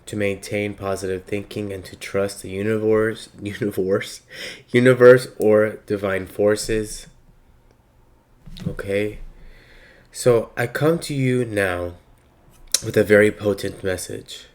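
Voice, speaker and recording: A man with a slightly raspy voice giving a slow, reflective spiritual monologue with many pauses. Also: fairly low pitch, fairly even intonation, darkish tone. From Palestinian heritage. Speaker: spiritual reader.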